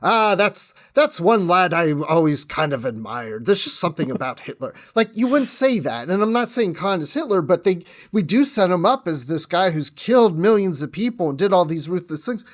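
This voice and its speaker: A man's voice: high voice, very animated, moderate pace, few pauses. A man chatting casually as a podcast guest, thinking aloud as he goes. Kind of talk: casual chat, discussion.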